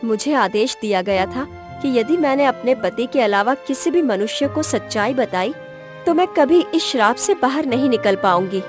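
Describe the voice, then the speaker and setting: Cartoon princess, played by a woman: youthful, wistful but wilful, raised pitch. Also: animated, normal speed, music in the background, close to an everyday voice. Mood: solemn. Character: young cursed princess, headstrong and wistful. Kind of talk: Hindi cartoon story voice acting.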